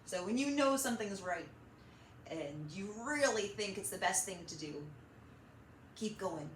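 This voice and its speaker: A woman hosting a children's storytime, reading and chatting in an animated, encouraging way straight to young listeners. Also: mid-range voice, a little slow. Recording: roomy echo, slight background noise.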